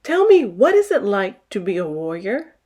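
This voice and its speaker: A woman's voice: mid-range voice, very animated, moderate pace, warm tone. Woman voicing an eager, curious young girl. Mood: curious, insistent. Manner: earnest, questioning.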